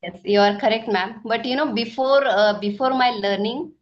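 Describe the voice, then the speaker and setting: A woman speaks hesitantly, full of fillers as she looks for words. Her voice sits a little high, and the recording sounds dull. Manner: halting, searches for words, many fillers. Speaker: homemaker learning English. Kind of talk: casual conversation practice.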